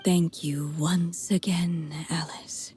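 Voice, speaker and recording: A woman voicing a solemn, tender, motherly storyteller witch in an animated game. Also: fairly low pitch, takes it slowly, a little hiss or hum. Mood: fading.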